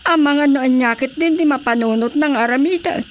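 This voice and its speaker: An actress plays an older village mother with a high voice and an Ilocano accent. She is concerned and gossipy, in radio drama comedy style. Faint noise sits behind her. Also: moderate pace, lightly acted.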